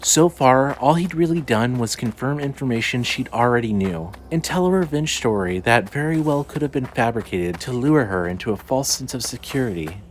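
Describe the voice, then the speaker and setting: A man's voice: medium pitch, expressive, normal speed, hardly any pauses. A man narrating scripted fiction dramatically as the narrator of a story. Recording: faint background noise and ambience.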